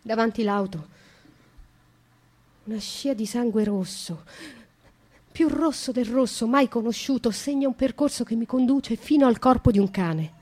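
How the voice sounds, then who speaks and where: A haunted young woman, hushed. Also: fairly high pitch, slightly rough. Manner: slow, intimate, hushed narration. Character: haunted young woman recounting dark dreams. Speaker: actress in a radio monologue. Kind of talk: theatrical radio drama monologue.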